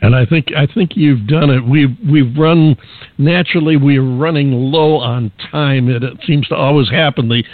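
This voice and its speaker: A man hosting a radio show, interviewing a guest in a genial, halting way with many restarts. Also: medium pitch, no echo, phone-quality sound.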